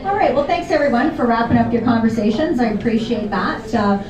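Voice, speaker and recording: A woman acting as emcee, making relaxed, filler-heavy announcements to a room. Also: a little high, fairly quick. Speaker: event emcee. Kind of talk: casual announcements. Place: large hall at a memorial gathering. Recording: echoey hall, distant, crowd chatter.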